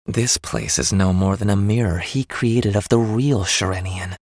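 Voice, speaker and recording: An actor playing a calm, mournful royal prince in a video game, speaking in a measured, solemn way. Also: male voice, lowish voice, no echo, studio quality. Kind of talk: dramatic video game acting.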